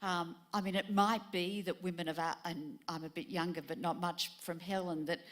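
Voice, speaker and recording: A woman's voice: fairly low pitch, fairly even intonation, normal speed, a bit bright. A woman, asking the panel a hesitant, meandering question. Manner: hesitant, searching, many restarts. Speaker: audience member asking a question. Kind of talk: live panel Q&A.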